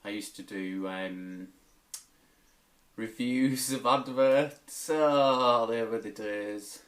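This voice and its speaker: A man's voice: medium pitch, extremely slow. A British man chatting casually to camera as a YouTuber, in a rambling, tentative way, into a tablet's microphone. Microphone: tablet's built-in microphone.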